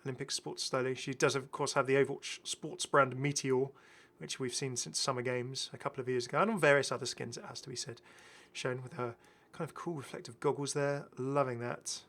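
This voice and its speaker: A man in middle age hosts a gaming video in a medium-pitched voice. He talks casually and with enthusiasm, circling back to repeat phrases as he comments on what he is showing. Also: fairly even intonation, brisk, few pauses, a bit bright. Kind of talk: casual game skin showcase commentary.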